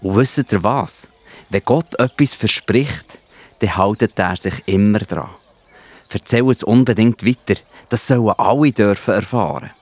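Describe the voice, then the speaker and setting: A man with a Swiss accent playing a gentle, reassuring Jesus who explains things patiently in a children's Bible audio play. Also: lowish voice, a little slow, no echo. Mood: reassuring, encouraging. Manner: explains patiently in simple words.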